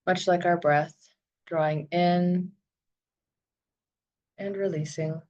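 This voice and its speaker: A woman leading a guided visualization meditation, speaking in soothing, deliberate phrases with long pauses. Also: on the low side, fairly even intonation, a little mellow, lo-fi sound.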